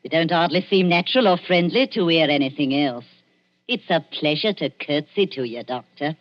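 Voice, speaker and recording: A woman's voice: low-pitched, animated, normal speed. An actress playing a sinister, falsely sweet old woman, in 1940s radio style. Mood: oily, teasing. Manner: oily, falsely sweet, taunting. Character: wheedling, sinister old female body-snatcher boss. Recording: dull, muffled old radio recording.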